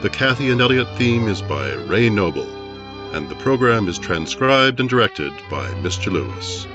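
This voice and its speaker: A man acts as a grand 1950s radio announcer. His low voice moves animatedly through formal, theatrical scripted copy, with faint music underneath. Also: big pitch swings, normal speed, highs cut off. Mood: grand, promotional. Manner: formal, theatrical promotional delivery.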